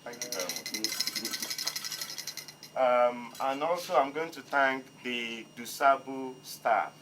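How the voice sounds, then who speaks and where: A man's voice: mid-range voice, slow, background noise. Hesitant man. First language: not English. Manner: hesitant, apologetic, with many ums. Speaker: exhibition curator. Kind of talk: public speech at an opening. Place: museum hall.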